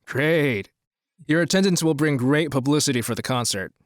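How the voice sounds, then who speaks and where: An actor playing a persuasive, scheming rich promoter in an audio drama, talking in a businesslike way. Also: male voice, on the high side, moderate pace, no echo, studio quality, natural voice. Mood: pleased.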